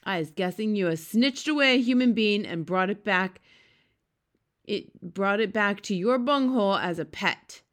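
A woman voicing a huge, brutish male giant bully from a storybook, booming out insults in broken grammar. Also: expressive, moderate pace, close to an everyday voice. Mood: scornful, bullying. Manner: booming, shouting, insulting, ungrammatical.

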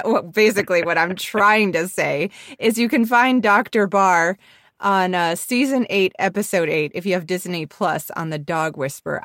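A woman's voice: lowish voice, fairly bright tone, no echo, clean studio sound. A woman co-hosting a podcast in casual chat, a little nasal from a cold, chatty and giggly. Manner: chatty, giggly, self-deprecating. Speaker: podcast co-host.

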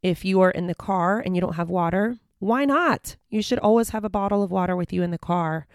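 A woman in her forties, slightly hoarse, hosting a self-help podcast in a bubbly, encouraging way. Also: lowish voice, brisk, no room sound, clean studio sound. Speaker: podcast host.

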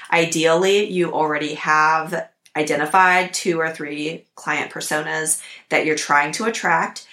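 A woman hosting a podcast, hoarse from a cold, giving upbeat, practical coaching tips. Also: lowish voice, fairly bright tone, roomy sound. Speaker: podcast host. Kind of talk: instructional podcast talk.

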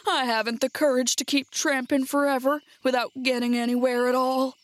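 A timid lion, acted out by a woman, whimpers in a raised, high voice. He is a cowardly big beast from a children's storybook, full of reluctance. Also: level tone, normal speed, no room sound, natural voice. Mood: whimpering.

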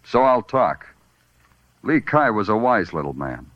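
An actor playing a wry, hardboiled New York police detective in 1940s radio noir, dry, clipped and sardonic. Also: male voice, on the low side, very animated, unhurried, no echo. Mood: dry, confrontational. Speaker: lead actor and narrator. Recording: muffled old radio recording with music.

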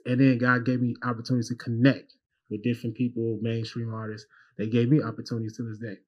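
Fast-talking young man, animated. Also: medium pitch, muffled recording. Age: 20s. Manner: animated, repeats and restarts often. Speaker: guest minister. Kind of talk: casual interview talk.

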